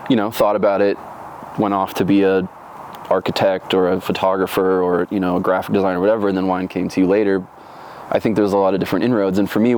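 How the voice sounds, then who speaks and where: A man's voice: deep voice, darkish tone, slight background noise. A man from Florida in his thirties, telling his life story in an oral history interview, reflective and rambling with many fillers and restarts.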